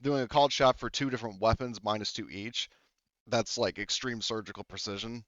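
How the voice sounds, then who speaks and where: Low, smooth-voiced male game master, easygoing, dry lo-fi mic. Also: fairly quick, no room sound. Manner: relaxed, thinking aloud with many ums. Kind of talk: running a tabletop game.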